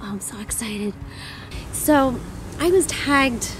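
A woman's voice: a little high, animated, takes it slowly, music in the background, poor audio. A woman in her thirties giving an enthusiastic garden tour for her homesteading vlog, recorded outdoors in a garden. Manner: enthusiastic, gushing about plants. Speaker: homesteading vlogger.

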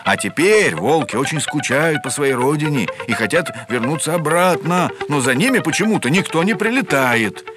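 A man's voice: on the high side, lively intonation, moderate pace, few pauses, close to an everyday voice. A man voicing a sensible, bookish bear in a cartoon, explaining things with a mildly teasing manner. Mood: earnest, explanatory. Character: sensible, bookish adult brown bear, male. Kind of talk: animated cartoon voice acting. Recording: music behind the voice.

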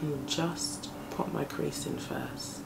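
A woman in her thirties with a deep morning voice, chatting casually as she does her makeup at home in front of a close camera. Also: slow pace, creaky. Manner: chatty, relaxed, talks while applying makeup. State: morning voice, deeper than usual. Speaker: beauty vlogger. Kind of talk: casual makeup tutorial talk. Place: home flat, indoors, window open. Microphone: camera on a desk at close range. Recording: home room with outside noise. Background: plane and street noise through the open window.

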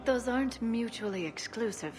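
An actress playing a prim, earnest alien information broker, precise and easily exasperated, in a video game. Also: female voice, on the high side, lively intonation, moderate pace, over music, natural voice. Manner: precise, indignant, reserved.

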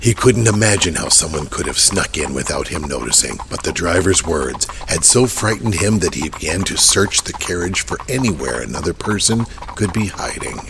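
A man's voice: low-pitched, animated, normal speed, few pauses, background noise. A man narrating a horror audio drama in a dramatic, scripted storytelling style. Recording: clear horse and carriage ambience behind.

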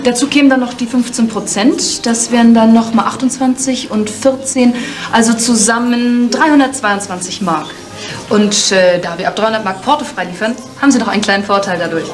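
Actress as high-voiced polite saleswoman. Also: fairly quick, lightly acted. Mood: businesslike. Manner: polite, businesslike, reassuring. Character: polite, persuasive middle-aged saleswoman in dress shop. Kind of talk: 1980s TV film acting.

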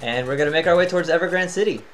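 A tired man giving casual, chatty commentary as he plays a video game, thinking out loud and humming to himself. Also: a little high, very fast, faint music behind. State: tired, voice near cracking. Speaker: gaming channel host.

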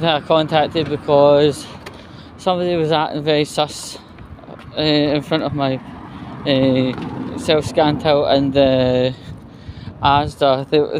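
A man talks slowly in a fairly high voice, rambling and grumbling wearily with the odd swear word. He is recording a casual vlog on his phone while walking, with faint street and traffic noise around him. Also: a little mellow. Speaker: vlogger. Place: walking outdoors along a residential street. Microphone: handheld phone. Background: street ambience, passing vehicles.

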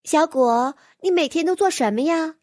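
Woman voicing an earnest, high-pitched young heroine. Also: normal speed, a little mellow, dry recording, studio quality, lightly acted. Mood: curious. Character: earnest, curious young heroine of a fantasy tale. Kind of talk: audiobook character voice.

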